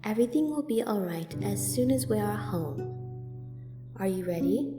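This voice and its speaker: A woman playing a gentle, protective monster mother in an audio drama, speaking in a formal, careful, soothing way. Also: medium pitch, expressive, normal speed, reverberant, over loud music, close to an everyday voice. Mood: soothing, sorrowful.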